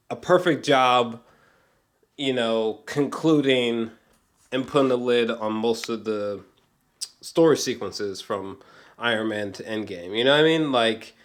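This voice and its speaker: A man chatting casually as an amateur film reviewer, geeky and gushing, swearing freely and often stumbling back over his words. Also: mid-range voice. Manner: halting, repeats himself, often breaks into chuckles.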